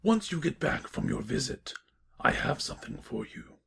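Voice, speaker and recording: Gravelly, raspy villain stallion king. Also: mid-range voice, very animated, normal speed, fairly bright tone, heavily acted. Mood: sly, taunting. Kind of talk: fan fiction audio drama.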